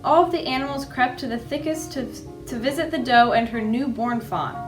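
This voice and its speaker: Higher-voiced woman reading storybooks aloud. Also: a little fast, faint music behind. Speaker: library storyteller. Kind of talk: read-aloud storytime for children.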